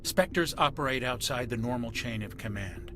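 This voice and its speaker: A man's voice: mid-range voice, normal speed, over music, lightly acted. An actor playing a wary, plain-spoken male military ship's officer in a video game, respectful but emphatic and suspicious.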